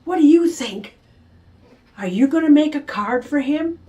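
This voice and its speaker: A woman's voice: fairly high pitch, sing-song, unhurried, lo-fi sound. A woman voicing an upset little girl who gets whiny and insistent. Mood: excited. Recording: distant from the mic.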